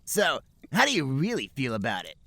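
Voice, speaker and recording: A brash cartoon hedgehog hero, put on by a man reading aloud. He is urgent and exaggerated, with the pitch pushed up and swinging widely. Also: huge pitch swings, brisk, pushed and bright, no room sound, heavily acted. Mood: awkwardly eager. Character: young male cartoon hedgehog Sonic, brash, urgent, lustful. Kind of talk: amateur comic fan fiction reading.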